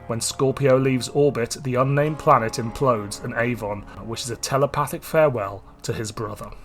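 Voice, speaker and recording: A man hosts a podcast in a mid-pitched voice. He narrates from a script and chats casually, with guitar music clearly playing behind him. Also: steady tone, moderate pace. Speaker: podcast host. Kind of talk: scripted plot synopsis and casual podcast chat.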